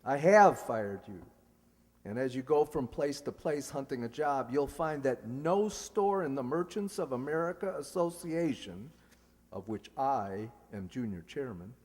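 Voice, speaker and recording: A man's voice: medium pitch, expressive, a little mellow. A man acting a stern, self-righteous young businessman in a radio comedy play, pompous and lecturing. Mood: threatening. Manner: pompous, lecturing, deliberate phrasing. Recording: echoey hall.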